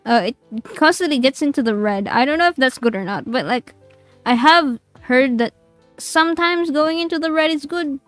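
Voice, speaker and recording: Squeaky-voiced giggly woman streamer. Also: a bit bright, clean tone, dry recording. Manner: giggly, rambling, chatty with viewers. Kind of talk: casual game-stream chatter.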